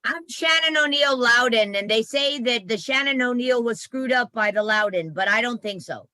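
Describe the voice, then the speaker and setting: A woman's voice: fairly high pitch, animated, moderate pace, sharp tone, no room sound. A woman on a group video call, playful and teasing in her remarks. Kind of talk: casual chat.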